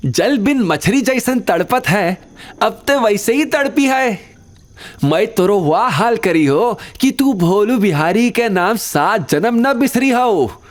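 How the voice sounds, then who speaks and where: A man's voice: high voice, lively intonation, takes it slowly, voice pushed up. A man voicing a crude, lecherous gangster henchman in an audio drama, taunting in rustic speech with a Bihari accent. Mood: menacing, lewd.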